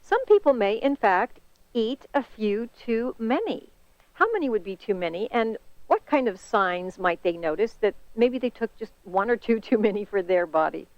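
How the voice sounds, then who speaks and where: A woman's voice: medium pitch, lively intonation, moderate pace, dry recording. Female call host, slightly dull dry audio. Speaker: host, interviewer. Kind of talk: hosting a promotional conference call interview. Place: conference call.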